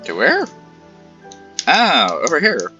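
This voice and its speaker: Man casually commentating, lively pitch. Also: slow pace, highs cut off, soft background music. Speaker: gamer playing along.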